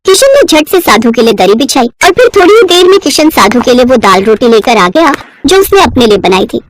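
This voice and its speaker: A woman's voice: high voice, very animated, a little fast, no echo. A woman narrating a scripted children's story expressively, as a storyteller.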